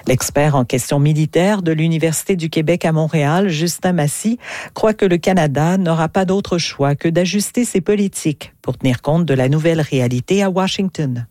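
Woman reading the news on radio, soft mellow voice, really dry sound. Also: deep voice, normal speed, no echo.

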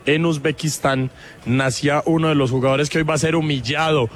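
A man's voice: a little high, fairly even intonation, a little fast. A man with a Colombian accent chatting casually and doing live interviews as a roving reporter. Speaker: roving radio show reporter.

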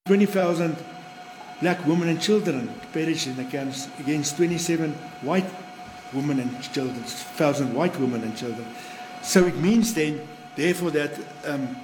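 A man's voice: on the high side, moderate pace, darkish tone. South african guy interviewed, roomy echo, noise behind. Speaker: museum spokesperson. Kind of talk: interview remarks. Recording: echoey room with background noise.

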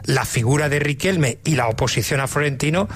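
A man's voice: medium pitch, brisk, a bit bright, slightly rough. Insistent male football pundit. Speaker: sports pundit on a panel. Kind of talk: live football talk-show analysis.

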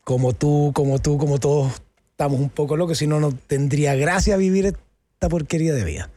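A man's voice: a little high, fairly quick, mellow, dry recording. A middle-aged Chilean man with a Chilean accent, talking frankly and with a lot of slang as a guest in a casual studio interview. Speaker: actor and guest.

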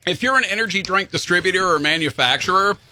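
A man's voice: high-pitched, lively intonation, quick pace, sharp tone, no echo. A man hosting a rock radio show, joking and laughing with his co-host in the studio. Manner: joking, laughing, stumbling over words. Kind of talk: morning radio banter.

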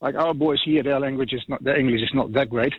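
A man's voice: medium pitch, steady tone, fast talker, dry recording. A middle-aged man with a Dutch accent calling in to a radio show, talking in a hesitant, casual way with many ums and restarts. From Netherlands. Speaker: caller, farmer. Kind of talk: casual phone-in chat. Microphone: telephone. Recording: tinny husky phone line.

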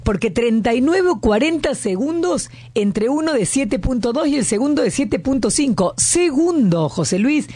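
A woman's voice: high-pitched, lively intonation, moderate pace, hardly any pauses, dark tone, breathy edge, no room sound. A woman with an Argentine accent hosting a radio interview, chatting and asking pointed questions. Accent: Argentine Spanish. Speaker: radio host.

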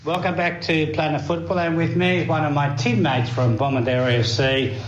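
A man's voice: on the high side, steady tone, fairly quick, dull audio. A man hosting an interview, chatty and jokey, often cutting in. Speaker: podcast host. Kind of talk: casual interview. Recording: echoey with faint noise.

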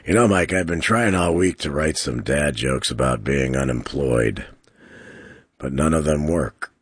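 A man hosting a live call-in radio show from a studio, trading dad jokes and talking faith and prophecy in a relaxed, jokey way. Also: extremely low pitch, unhurried, husky, no room sound. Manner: jokey, chuckles at his own dad jokes. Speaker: radio talk show host. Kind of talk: casual radio banter and sermon-like talk.

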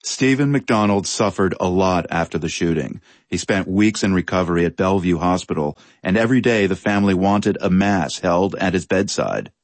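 A man presenting a news feature in scripted, measured narration. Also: deep voice, expressive, dry recording.

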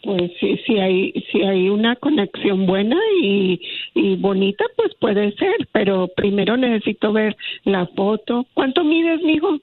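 Unhurried, picky woman on a radio show. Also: mid-range voice, no echo, phone-quality sound. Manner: picky, blunt. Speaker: guest looking for a partner on a radio show. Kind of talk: casual chat.

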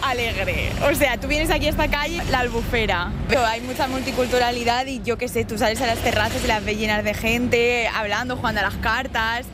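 Female interviewee, enthusiastic, fairly high voice, music underneath. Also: fairly quick, few pauses. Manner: lively, enthusiastic. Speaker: local resident. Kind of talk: street interview.

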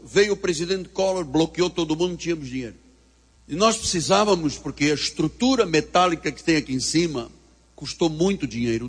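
High-voiced preacher with a Portuguese accent. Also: male voice, husky, in a large hall. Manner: fervent preaching, storytelling. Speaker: pastor. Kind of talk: sermon.

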